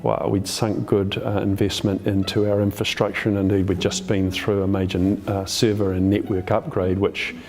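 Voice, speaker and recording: Man interviewee, matter-of-fact, hesitant, low monotone voice, music underneath. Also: normal speed, hardly any pauses. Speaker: council officer interviewee. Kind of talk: interview answers.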